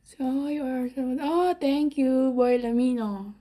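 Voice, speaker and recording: A young woman from the Philippines with a Filipino accent, chatting casually and shyly in halting English on a phone livestream at home. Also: high-pitched, mellow. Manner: shy, hesitant, giggly, halting non-native English. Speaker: livestream host. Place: small room at home. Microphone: phone at arm's length.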